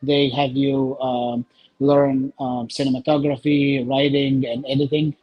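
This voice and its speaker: A man with an Egyptian accent answers interview questions in a mid-range voice. His talk is casual and halting, broken into short phrases with frequent pauses. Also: medium pitch, little pitch movement, muffled recording. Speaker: interview guest, filmmaker.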